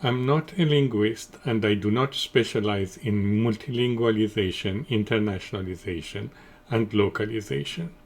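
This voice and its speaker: A middle-aged man, a Farsi speaker, giving a formal, measured scripted technical conference presentation. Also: lowish voice. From Iran. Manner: measured, deliberate, formal delivery.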